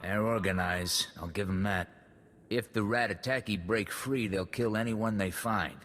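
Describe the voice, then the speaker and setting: A steady, plain-spoken colonel in a game cutscene. His voice sits fairly low and he keeps it terse and practical, ringing in a long hall reverb. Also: measured pace. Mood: matter-of-fact. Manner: terse, matter-of-fact. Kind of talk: video game cutscene acting.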